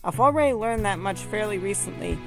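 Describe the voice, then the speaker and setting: A woman's voice: medium pitch, expressive, fairly quick, gravelly. Woman playing an earnest male animal lawyer over music. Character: earnest wounded male animal defense attorney, stubbornly principled. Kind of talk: fan audio drama acting. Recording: music behind the voice.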